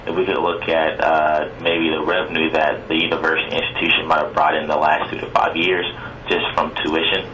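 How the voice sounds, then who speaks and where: Very deep, monotone man on conference call. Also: a little fast, dull audio. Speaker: board member. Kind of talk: formal meeting discussion. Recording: distant phone pickup with faint noise.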